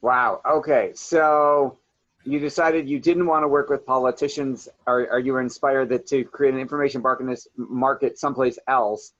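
A man's voice: mid-range voice, steady tone, normal speed, a bit bright, dry recording, highs cut off. A man hosting an interview, upbeat and effusive, asking his guest questions. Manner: upbeat, effusive, frequent "awesome". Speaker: podcast host.

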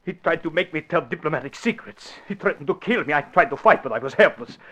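Casting: timid, evasive diplomatic secretary, high voice swinging widely, stammering, vintage radio thriller. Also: male voice, animated, fast, fairly bright tone. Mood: shaken. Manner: stammering, formal, stilted phrasing. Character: frightened, evasive foreign consulate secretary, pleading and stammering. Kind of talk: 1940s radio drama acting. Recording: dull vintage radio recording.

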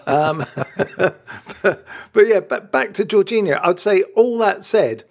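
A man's voice: high-pitched, expressive, slow. A man, co-hosting a football podcast in casual chat. He is enthusiastic, laughs often, and repeats words while he finds his thought.

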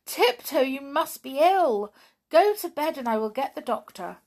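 A woman acts out a kindly male sailor doll from a children's storybook. He sounds earnest, anxious and coaxing, with a raised pitch that moves up and down a great deal. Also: sing-song, measured pace, a little mellow, exaggerated voice. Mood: alarmed.

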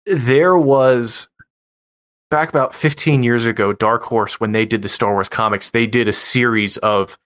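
Mid-pitched man explaining casually. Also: measured pace, a bit bright. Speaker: podcast co-host. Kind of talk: casual explanatory fan chat.

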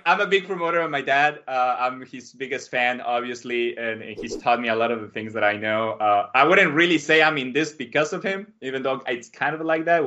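A man from Mexico, a podcast guest, telling his life story in a casual, animated way. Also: medium pitch. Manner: animated, acts out quotes with a raised voice. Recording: dull lo-fi sound.

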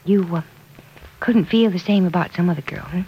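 A woman playing a caring, protective guardian, gentle and earnest with a teasing side, in 1940s radio serial acting. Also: fairly low pitch, normal speed, slight background noise, natural voice. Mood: earnest, concerned. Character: Penny Gibbs, caring, protective woman guardian wary of young love. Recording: dull old radio recording.

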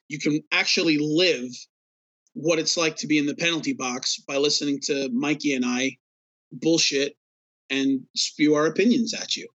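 A man's voice: fairly high pitch, a bit bright, no room sound, highs cut off. A man co-hosting a hockey podcast, chatting casually with lots of fillers and a laid-back, rambling manner. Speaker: podcast co-host.

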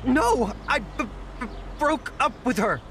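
Stammering, high-voiced anxious young man. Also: big pitch swings, unhurried, heavily acted. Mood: anxious, apologetic. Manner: stammering, apologetic, flustered. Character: naive, anxious young male zookeeper boyfriend. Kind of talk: audio drama acting.

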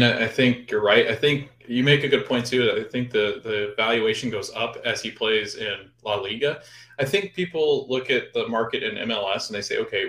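A man's voice: fairly low pitch, a bit bright. A man co-hosting a podcast, giving his take on soccer in an easygoing way, thinking aloud with fillers. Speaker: podcast co-host. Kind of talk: casual soccer chat. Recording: echoey room.

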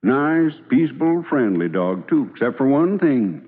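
An actor playing a kindly, humorous, persuasive frontier lawyer in an American radio drama, with a homespun storyteller's American manner of speech. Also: male voice, medium pitch, very animated, a little slow, slightly rough. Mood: genial, persuasive. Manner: homespun, drawling storyteller with folksy sayings. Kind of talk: old-time American radio drama acting. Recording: muffled old radio recording, long hall reverb.

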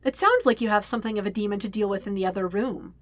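A woman's voice: mid-range voice, fast talker, dry recording, natural voice. A woman voicing a stubborn, scornful young woman in a story reading, sarcastic and curt. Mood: sweetly mocking.

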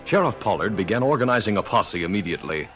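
A man narrates in old-time radio style. His voice is low with lively, sweeping intonation and a dramatic announcer delivery. Faint music is present. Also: fast talker.

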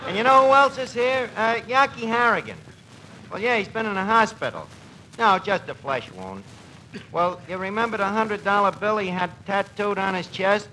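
An actor playing a cocky, gullible New York tavern manager in a radio comedy, with a New York accent and mangled pompous phrasing, on an old broadcast recording. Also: male voice, high-pitched, lively intonation, moderate pace, pushed and bright, a little hiss or hum, poor audio. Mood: chatty, wry. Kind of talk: 1940s radio comedy acting.

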